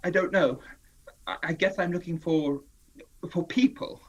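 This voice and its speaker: An actor playing a weary survivor who narrates his own journey and speaks hesitantly, at times unsure, in a radio drama, recorded on a dull lo-fi mic. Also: on the high side. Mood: uneasy. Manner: hesitant, uncertain in dialogue; measured in narration. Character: weary male survivor wandering a ruined land. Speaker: narrator and main character.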